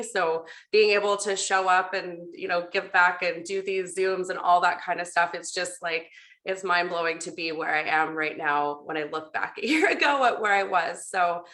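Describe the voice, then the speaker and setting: A woman's voice: on the low side, normal speed, pushed and bright. A woman hosting a casual group call, talking in an upbeat, chatty and conversational way. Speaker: host of a team video call.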